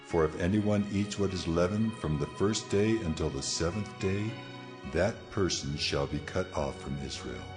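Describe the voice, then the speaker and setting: A man's voice: low voice, moderate pace, music in the background, close to an everyday voice. A man playing God in a dramatized scripture reading, solemn and authoritative as he declares commands. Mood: solemn, commanding. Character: God speaking laws, solemn commanding male deity.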